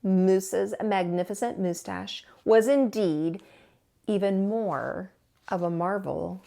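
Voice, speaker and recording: Slow-paced woman reading a children's storybook. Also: medium pitch, creaky, dark tone. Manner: theatrical, drawn-out emphasis on words. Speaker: teacher reading a picture book aloud. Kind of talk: expressive children's storytime read-aloud.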